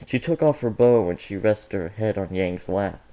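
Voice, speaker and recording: A man reading fan fiction aloud as an amateur, halting and stumbling over words, with joking asides. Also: fairly low pitch, mellow, poor audio. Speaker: reader of fan fiction for an online audience.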